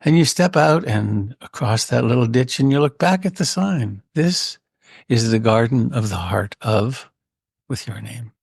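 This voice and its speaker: A man leading a guided meditation in a gentle, unhurried way with long pauses and a creaky voice. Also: medium pitch, expressive, dry recording, studio quality. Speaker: meditation teacher.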